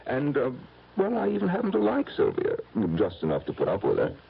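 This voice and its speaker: An American actor playing a cynical, smooth-talking fortune hunter in a 1970s radio drama, sardonic and deliberate. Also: male voice, fairly high pitch, huge pitch swings, heavily acted. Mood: sardonic, persuasive. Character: cynical, smooth-talking fortune-hunting man, coolly scornful. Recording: muffled old radio broadcast.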